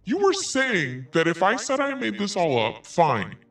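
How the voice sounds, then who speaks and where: A man hosting a commentary podcast, reading messages aloud with biting sarcasm. His voice sits a little high and jumps around in pitch as he lingers over the words. He sounds set back from the microphone, with faint music underneath. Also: wildly swooping pitch, measured pace, a bit bright, far from the mic. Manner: sarcastic, swearing, laughs through reading. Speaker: podcast host.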